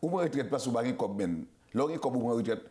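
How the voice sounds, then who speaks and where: A man's voice: mid-range voice, animated, rapid-fire, warm tone. A man with a Haitian accent hosting a casual talk show. He stammers and restarts a lot and mixes Creole and English words into his French. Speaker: show host.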